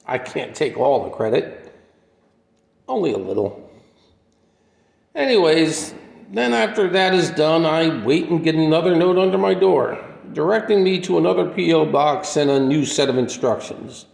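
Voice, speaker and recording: A man playing an aging, modest, wistful drifter in a radio play, speaking in a halting, plainspoken, reflective way. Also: on the high side, expressive, gravelly, darkish tone. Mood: earnest, matter-of-fact. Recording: echoey hall-like reverb.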